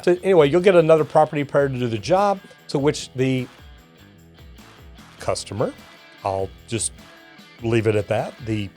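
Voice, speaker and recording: A man's voice: medium pitch, darkish tone. A middle-aged or older man with a Texan accent, a plumber hosting a video, reading comments aloud and reacting in a folksy way. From Texas, United States. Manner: folksy, addresses viewers as y'all and guys. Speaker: master plumber and video host.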